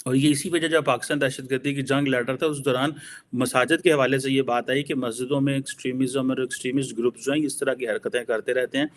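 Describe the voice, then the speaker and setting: A man's voice: mid-range voice, steady tone, fast talker. A man with a Pakistani accent explains his opinions as a political analyst in informal commentary, mixing in English phrases.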